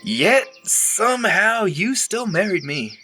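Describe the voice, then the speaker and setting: A man performs a joking husband in an audio drama. He speaks slowly in a bright, forceful voice. His delivery is teasing banter. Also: sits high, very animated, soft background music, full character voice. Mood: playful. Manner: joking, chuckling.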